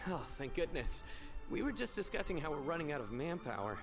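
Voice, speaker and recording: Animated, earnest searcher. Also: male voice, a little high, brisk, over music. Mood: weary. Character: weary, earnest male search party member. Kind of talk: video game voice acting.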